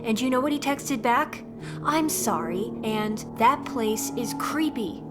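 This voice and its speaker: A woman's voice: fairly high pitch, animated, slow pace. A woman voicing a sulky, resentful young boy, whiny and aggrieved, in audiobook dialogue. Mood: frustrated. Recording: music bed behind the voice.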